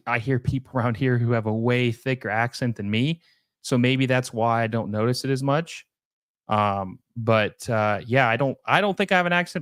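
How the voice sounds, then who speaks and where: A young man chats casually on his live stream, drifting through thoughts with frequent fillers and false starts. His voice is smooth and resonant. The sound is dry and close, with no room around it. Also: mid-range voice, fairly bright tone, no echo. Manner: rambling, many fillers and restarts. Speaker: livestream host.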